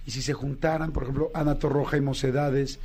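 A man from Mexico with a Mexican accent, hosting a radio interview with eager, curious questions and quick rundowns. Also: medium pitch, level tone, a little mellow. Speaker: radio host.

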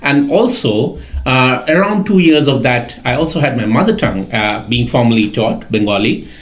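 A man's voice: mid-range voice, animated, moderate pace. Middle-aged guy, mid voice, talks step by step with lots of uhs. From India. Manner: methodical, with frequent uh fillers. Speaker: senior lecturer and guest. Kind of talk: podcast interview.

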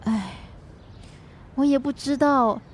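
An actress playing a troubled, easily wounded wife in a radio drama, emotional and pleading, with a Singaporean accent. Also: female voice, high voice, slow pace. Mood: troubled, subdued.

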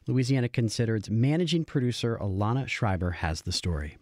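A man's voice: mid-range voice, lively intonation, a little fast, darkish tone, no echo, studio quality. A man hosting a public radio news show, handling links and introductions.

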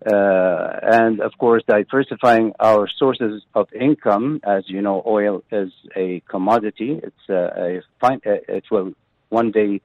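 A man with a fairly low voice speaks over a thin, phone-like line. His delivery is halting, packed with fillers and restarts, as he explains things to a radio host. The sound is dry and close. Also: dry recording. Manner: hesitant, many fillers and restarts. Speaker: pavilion representative guest. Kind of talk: radio interview.

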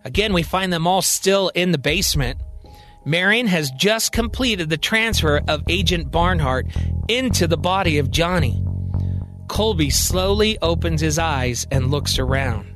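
A man narrating a scripted audio drama as storyteller, in deliberate, measured phrases. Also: fairly high pitch, animated, over music.

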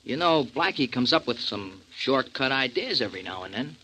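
An actor playing an earnest, streetwise small-time sidekick with an American accent and slangy, ungrammatical speech, in 1940s radio drama style. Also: male voice, medium pitch, expressive, moderate pace, bright, forceful tone, breathy edge, low-quality recording. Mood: puzzled, frank. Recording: vintage 1940s radio recording.

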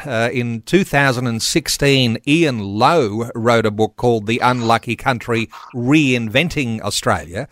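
A man hosting a talkback radio show, speaking in a measured way as he steers the conversation. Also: medium pitch, no room sound, studio quality.

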